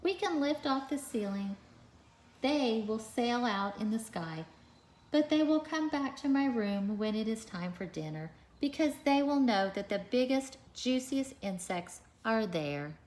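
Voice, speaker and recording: A woman's voice: on the high side, animated, measured pace, a little mellow, slight background noise, voice pushed up. A woman voicing an eager, imaginative, earnest little boy in a storybook reading. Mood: eager, dreamy. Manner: earnest, enthusiastic.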